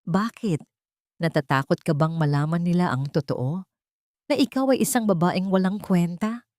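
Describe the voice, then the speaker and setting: A woman's voice: fairly low pitch, normal speed, darkish tone, no room sound, clean studio sound, lightly acted. A woman storyteller voicing a spiteful elderly mother-in-law in an audio drama, sneering and accusatory. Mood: loud accusing contempt.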